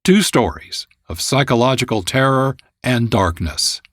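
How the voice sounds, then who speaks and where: A man hosting a radio program with a scripted, measured, formal introduction. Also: mid-range voice, lively intonation, a bit bright, no echo, clean studio sound. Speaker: producer and host.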